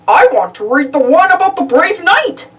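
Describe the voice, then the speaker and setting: A woman's voice: extremely high pitch, very animated, normal speed, pitched above the performer's own voice. A woman voicing a good-natured, bumbling male cartoon dog in a storybook, exaggerated and drawn out. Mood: eager. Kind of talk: storybook character voice. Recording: distant mic, noisy background.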